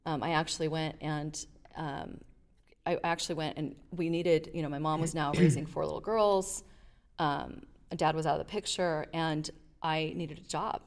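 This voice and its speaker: Low-voiced woman telling her life story. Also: moderate pace. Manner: animated storyteller, acts out quotes. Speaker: guest, leadership advisor. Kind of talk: casual interview storytelling.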